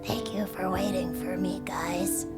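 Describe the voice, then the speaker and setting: A woman's voice: fairly low pitch, fairly even intonation, a little slow, loud music behind the voice, natural voice. A woman play-acting a whiny, protesting young child in a drama. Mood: apologetic.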